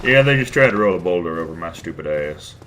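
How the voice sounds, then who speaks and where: Man, stuffy from a cold, rambling, self-mocking, sharp-toned voice. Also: low voice, lively intonation, fast, slight background noise. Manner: rambling, crude, self-deprecating, many restarts. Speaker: let's play host. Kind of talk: casual gameplay commentary.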